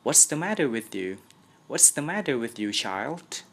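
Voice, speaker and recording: Storybook old aunt played by a man: raised pitch, sceptical, scolding, easily alarmed. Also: sing-song, normal speed, creaky, a bit bright, heavily acted.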